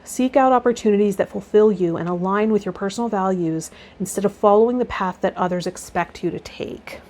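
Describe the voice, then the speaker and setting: A woman's voice: mid-range voice, a little fast, a little mellow. A woman hosting a tarot video, reading card meanings aloud and adding casual commentary.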